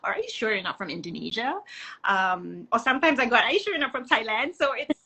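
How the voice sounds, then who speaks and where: Bright-voiced woman hosting a conversation. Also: a little high, animated, fast talker, husky. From Singapore. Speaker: interview host. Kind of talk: warm conversational interview.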